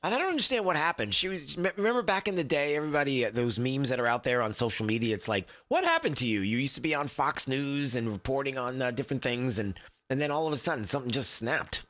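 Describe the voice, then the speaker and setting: A man hosting a radio talk show, under the weather with a deeper voice than usual, chatting casually and rambling with lots of filler words. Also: very animated, fast, fairly bright tone. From Southwest Florida.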